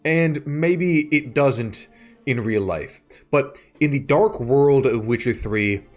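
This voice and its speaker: A man narrating a scripted video essay in a measured way, pausing between short phrases. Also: medium pitch. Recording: music bed behind the voice. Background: background music.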